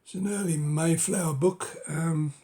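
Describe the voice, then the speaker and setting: A middle-aged man with a cold, speaking hoarsely and haltingly in a casual show-and-tell of his books, often dropping to a whisper. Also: on the high side, fairly even intonation, dark tone. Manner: halting, many ums and uhs, drops to a whisper. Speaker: book collector vlogger.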